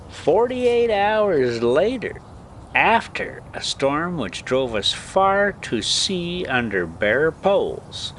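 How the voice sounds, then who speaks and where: An older man reading a classic adventure story aloud in a dramatic, deliberate way with emphatic pauses and casual delivery of asides. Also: a little high, sing-song, a bit bright, a little hiss or hum.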